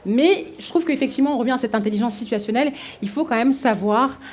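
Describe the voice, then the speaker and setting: A woman's voice: on the high side, fast. A woman from DR Congo moderating a live workshop, asking the audience questions and sharing her own experience. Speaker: workshop facilitator.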